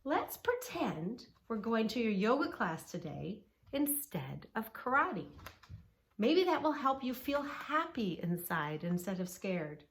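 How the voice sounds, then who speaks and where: A woman's voice: fairly high pitch, very animated, takes it slowly, full character voice. A woman voicing a kind, reassuring young boy, an older brother in a children's storybook, speaking in an earnest, encouraging way.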